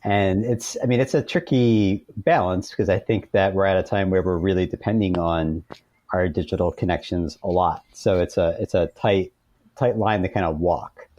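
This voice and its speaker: Male author guest, very low and soft-edged voice, thoughtful conversational delivery. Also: normal speed, dry recording, highs cut off. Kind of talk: reflective conversational interview.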